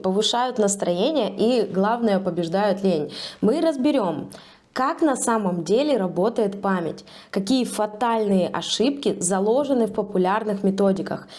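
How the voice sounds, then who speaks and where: A woman English teacher narrating a scripted video lesson in a measured, persuasive way. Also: medium pitch, dark tone.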